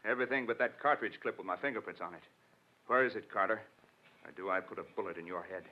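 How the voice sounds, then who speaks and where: Man acting a gloating low-voiced radio killer. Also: quick pace, a little hiss or hum, natural voice. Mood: cold threat. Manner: sneering, taunting, boastful. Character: menacing, gloating male killer with a gun. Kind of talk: 1940s radio crime drama acting. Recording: muffled vintage radio recording.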